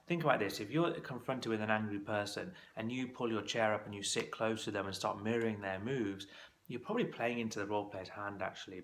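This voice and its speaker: A man with a fairly low voice and a gravelly edge talks straight to camera as an exam course tutor. He coaches in a practical, conversational way. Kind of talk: instructional talk to camera.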